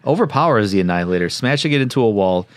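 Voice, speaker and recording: A man's voice: lowish voice, animated, rapid-fire, clean tone, no echo. A man co-hosting a comedy podcast, casually reading a story recap with wry, mock-serious reactions. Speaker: podcast co-host. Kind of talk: casual comedic recap, part read aloud.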